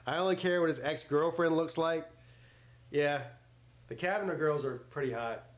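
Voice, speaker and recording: Man, forties, fairly high-pitched voice, sports stream host, casual commentary, blunt, joking, rambling. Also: moderate pace. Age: 40s. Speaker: host of a live sports watch party. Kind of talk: casual livestream chat with play-by-play commentary.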